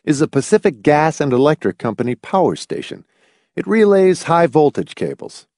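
Audiobook male lead, read by a man: casual, wry, drily provoking, with animated intonation and a dry, close sound. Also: fairly high pitch, moderate pace, no room sound, voice pushed up. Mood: matter-of-fact. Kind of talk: audiobook character voice.

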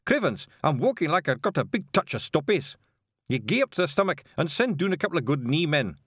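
A high-pitched, bossy little Scottish creature from a storybook. The voice blusters and scolds in a broad Scottish accent, leaping about in pitch and loud with irritation, stumbling as it tries to pass for a gentleman. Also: big pitch swings, a little fast, husky, no room sound, studio quality, full character voice. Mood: grumbling, ordering.

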